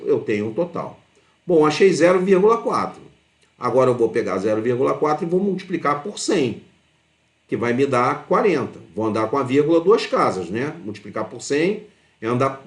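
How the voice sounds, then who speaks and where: A man with a Brazilian accent giving an informal step-by-step math lesson as a teacher, often checking understanding with short tag questions. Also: a little high. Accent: Brazilian Portuguese. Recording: roomy, echoing voice.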